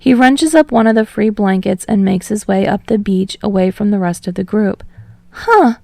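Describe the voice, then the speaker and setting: Creaky-voiced woman reading fiction. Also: medium pitch, normal speed, mellow, no echo, clean studio sound. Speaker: podfic narrator. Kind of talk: expressive fiction narration with casual sign-off.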